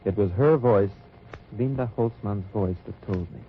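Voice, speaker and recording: An actor playing a dry, wry undercover agent who narrates in first person in a 1940s radio drama. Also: male voice, lowish voice, moderate pace, slight background noise, lightly acted. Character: hard-bitten American undercover agent narrating. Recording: lo-fi old radio transcription.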